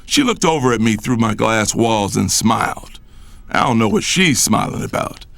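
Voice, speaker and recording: A man's voice: on the low side, expressive, normal speed, creaky, a bit bright, no room sound. A man playing a vindictive, controlling businessman and boss, narrating an audio drama in first person with a stern manner. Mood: vindictive, brooding.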